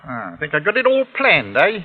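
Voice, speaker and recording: An actor playing a sly, scheming middle-aged working-class English uncle in a 1940s radio drama, oily and mock-friendly. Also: male voice, fairly high pitch, wildly swooping pitch, brisk, slightly rough, exaggerated voice. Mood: mock-offended, scheming. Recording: dull old radio recording, reverberant.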